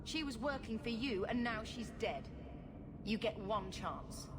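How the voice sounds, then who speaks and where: An actor playing an angry, suspicious gang boss in a fantasy video game, forceful and threatening. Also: male voice, high voice, animated, unhurried. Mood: accusing. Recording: noisy game ambience.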